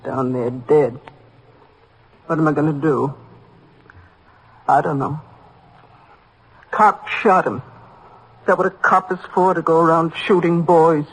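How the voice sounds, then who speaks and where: Husky, grief-stricken mother. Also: deep voice, fairly even intonation, exaggerated voice, poor audio. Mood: bitter, despairing. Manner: halting, plaintive, accusing. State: distraught. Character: grief-stricken, bitter working-class mother of grown sons. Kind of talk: old-time radio drama acting. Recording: vintage radio drama recording.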